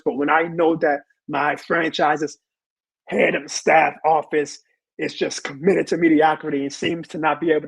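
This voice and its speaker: A hoarse man who has lost his voice, hosting a live sports show and ranting with emphatic repeated lines. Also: a little high, no room sound, low-quality recording. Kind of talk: live sports rant and chat reading.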